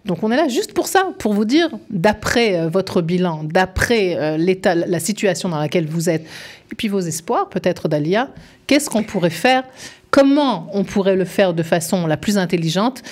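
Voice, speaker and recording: Woman, 50s, lower voice, earnest employment advisor on studio radio. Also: animated, normal speed, a little mellow. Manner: earnest, explanatory, persuasive. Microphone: studio microphone.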